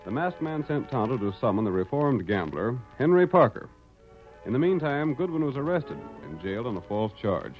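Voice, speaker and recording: An American man narrates a vintage radio drama in a scripted style. His medium-pitched voice moves in lively swings. The recording is dull, with faint music behind. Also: moderate pace.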